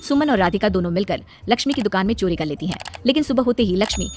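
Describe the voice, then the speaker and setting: A woman's voice: medium pitch, animated, rapid-fire, a little mellow, a little hiss or hum. A woman reading scripted narration for a cartoon moral story.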